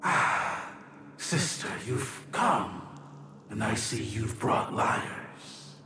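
A man voicing a tall, feral, furry cave priestess in a tabletop game, knowing and suspicious, with a deliberate, accusing manner. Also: lowish voice, sing-song, sharp tone, roomy sound, a little hiss or hum, heavily acted.

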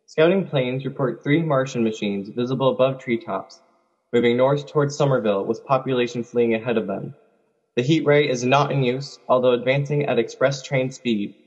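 Muffled, husky male field reporter acted by a man. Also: medium pitch, moderate pace, natural voice. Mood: sober. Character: clipped, factual male military airfield bulletin reporter. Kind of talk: scripted radio drama military report. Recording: muffled, hollow reverberant radio sound.

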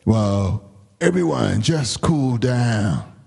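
Man playing a calm, gravelly big gorilla. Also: medium pitch, extremely slow, exaggerated voice. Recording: reverberant hall-like room echo.

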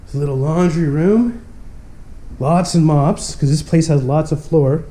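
A young man with a congested, stuffy voice gives a cheerful walking tour, talking straight to his viewers as he moves through empty rooms. His voice sits a little high but sounds soft and mellow, picked up on a handheld action camera. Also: measured pace, a little hiss or hum. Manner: upbeat, keeps addressing viewers as guys. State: stuffy from a cold. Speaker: urban explorer vlog host. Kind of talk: casual walkthrough narration. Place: empty abandoned mansion, indoors.

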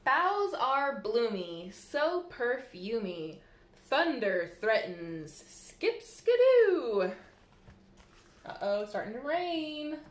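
A woman in her thirties reads a picture book to small children in a slightly high, very animated voice. Her delivery is sing-song and addressed straight to the kids. It is recorded in a small, echoey room on a camera mic a little way off. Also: drawn-out words. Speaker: library staff storyteller. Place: small room indoors by a window, seated. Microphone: camera mic a short distance away. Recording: roomy echo from camera mic.

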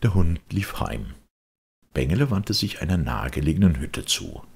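A male audiobook narrator reads a children's tale. His voice is extremely deep and crackles with fry. The delivery is expressive, with lively rises and falls. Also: normal speed. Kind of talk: expressive scripted storytelling for children.

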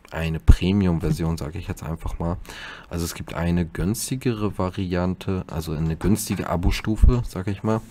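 A man narrating a casual software tutorial, explaining step by step in an informal, slightly hesitant way. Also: low voice. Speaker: YouTube tutorial host.

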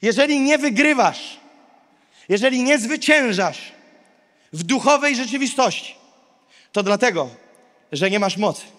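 A man in his thirties preaches with a very high-pitched voice. He speaks as a pastor to a big congregation, emphatic and declarative, repeating short phrases. His handheld-mic voice rings through a large hall with long reverb. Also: expressive, unhurried, pauses often, a bit bright. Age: 30s. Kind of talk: sermon to a large live congregation. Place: large indoor auditorium stage. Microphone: handheld microphone.

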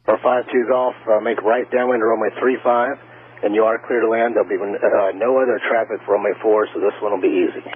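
A man's voice: lowish voice, level tone, quick pace, phone-quality sound. American male air traffic controller on crackly radio. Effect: radio transmission.

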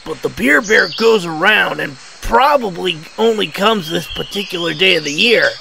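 A man's voice: high voice, lively intonation, normal speed, gravelly, bright, forceful tone, a little hiss or hum, heavily acted, poor audio. A man playing a gung-ho, cheerful, scheming hunter in an amateur cartoon audio drama, with theatrical delivery. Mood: urgent, planning. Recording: music laid under the voice.

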